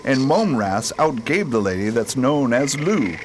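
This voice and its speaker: A man's voice: mid-range voice, big pitch swings, unhurried, slight background noise. A man playing a wry, composed space expedition leader in a science fiction radio drama, speaking casually.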